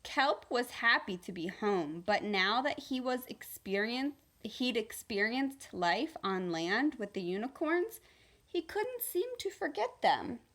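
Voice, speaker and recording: A woman reading a children's storybook aloud like a teacher, in an expressive, deliberate storytelling manner. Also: on the high side.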